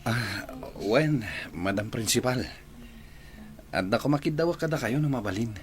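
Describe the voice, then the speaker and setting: A man's voice: mid-range voice, expressive, moderate pace, close to an everyday voice. A man with an Ilocano accent acting a polite, grateful young student in a radio drama. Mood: polite, pleading.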